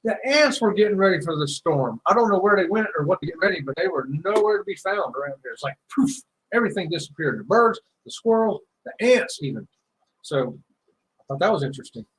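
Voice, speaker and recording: A man's voice: high voice, expressive, brisk, fairly bright tone, slight background noise, poor audio. A man co-hosting a casual live chat, telling an easygoing story. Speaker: live show co-host. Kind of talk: casual conversational anecdote.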